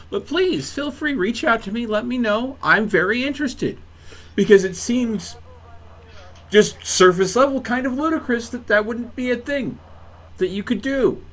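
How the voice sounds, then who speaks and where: Bright, high-voiced man bantering on stream. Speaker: live streamer hosting a gaming session. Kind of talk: casual bantering game-stream chat.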